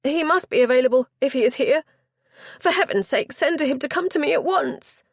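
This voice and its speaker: Shrill, panicked chaperone. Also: female voice, fairly quick, creaky, no echo, studio quality. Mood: frantic. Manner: high, quivering, near-shouting alarm. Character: frantic middle-aged female chaperone, shrill.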